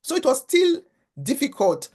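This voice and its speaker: A woman's voice: sits high, big pitch swings, moderate pace, mellow. A woman originally from Africa, a guest in an interview, speaking earnestly and often repeating words while she finds them. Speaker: guest, foundation founder. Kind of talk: conversational interview.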